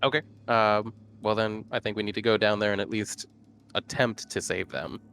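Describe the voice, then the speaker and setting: A man's voice: fairly low pitch, fairly even intonation, moderate pace, vocal fry, fairly bright tone, slight background noise. A man talking casually as a player in a tabletop roleplaying game.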